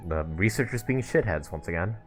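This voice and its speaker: Man, low but lively voice, casual sweary game streamer, giggly amusement, music underneath. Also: a little fast. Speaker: live streamer playing a video game. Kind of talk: casual livestream gaming commentary.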